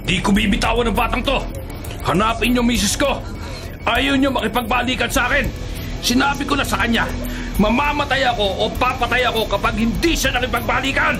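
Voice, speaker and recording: A man's voice: very high-pitched, a little fast, music in the background. A man acting a desperate, threatening hostage taker in a Filipino radio drama. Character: desperate, threatening estranged husband holding a hostage.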